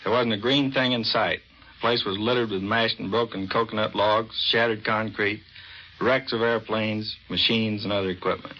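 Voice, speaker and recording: A man's voice: on the low side, steady tone, moderate pace. An American man, a navy commander, giving a plain, matter-of-fact scripted interview on 1940s radio. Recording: dull vintage 1940s radio recording.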